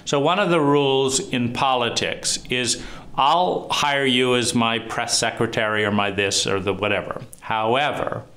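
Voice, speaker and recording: Slow, deep-voiced man reflecting. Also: pushed and bright. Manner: slow, deliberate, pausing often with fillers. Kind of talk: reflective monologue on trauma and psychology.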